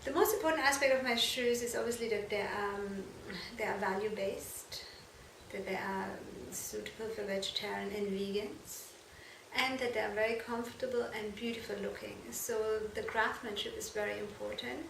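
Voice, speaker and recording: A woman from Germany, answering interview questions earnestly and haltingly, correcting herself as she goes. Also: mid-range voice, vocal fry. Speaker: fashion and shoe designer being interviewed. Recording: echoey room, distant mic, noisy background.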